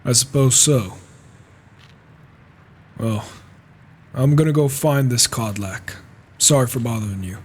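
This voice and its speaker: A man acts out a reclusive warrior in a fantasy game roleplay. He mutters wry observations to himself at a middling pitch. The home mic recording has clear noise behind it. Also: unhurried, pauses often, lightly acted. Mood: guarded, polite. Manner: musing to himself, muttered asides. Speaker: player roleplaying a character in a game. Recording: noisy, flawed home mic.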